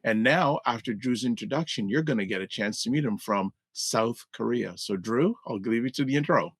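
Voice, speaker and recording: A man's voice: medium pitch, moderate pace, breathy edge, no room sound. A man co-hosting a casual video-call talk show, upbeat, repeating points for emphasis and reading the sponsor message. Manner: upbeat, repetitive emphasis, announcer-like reads. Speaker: co-host. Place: indoor room.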